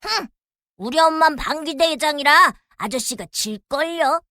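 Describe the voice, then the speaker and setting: A woman's voice: high-pitched, expressive, unhurried, bright, forceful tone, dry recording, studio quality, heavily acted. A woman voicing a cheeky, taunting small child in a children's folktale. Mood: defiant, teasing. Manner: pert, taunting.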